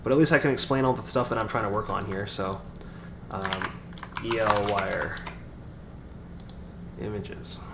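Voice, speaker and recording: Raspy-voiced man streaming in an echoey room. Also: lowish voice, fairly even intonation, measured pace, long pauses. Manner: rambling, full of fillers and restarts. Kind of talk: casual live-stream chat. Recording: echoey room with background noise.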